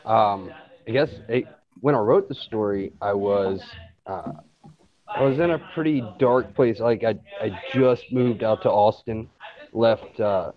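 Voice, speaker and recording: A man's voice: lowish voice, unhurried. A man from Louisiana chatting casually as a writer guest, hesitant and self-effacing, on dull lo-fi audio. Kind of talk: casual chat.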